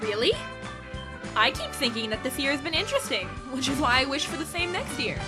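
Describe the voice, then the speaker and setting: A woman voicing a bossy, excitable teenage girl who leads a school club, speaking in a commanding, enthusiastic way. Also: sits high, brisk, music in the background. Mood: eager. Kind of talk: fan audio drama acting.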